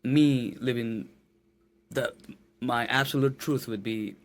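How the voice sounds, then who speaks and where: A man's voice: mid-range voice, fairly even intonation, slow. A man in his late twenties from Nepal, with a Nepali accent, speaking earnestly as a podcast guest and often repeating words as he searches for his phrasing. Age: late 20s. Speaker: guest musician. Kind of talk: casual podcast interview.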